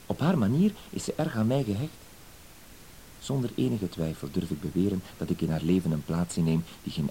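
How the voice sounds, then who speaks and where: An actor playing a timid, dutiful husband with wounded dignity, hesitant, wordy and apologetic, in a radio play. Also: male voice, low voice, dark tone, poor audio. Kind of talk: Dutch-language radio play acting. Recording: hissy old radio play recording.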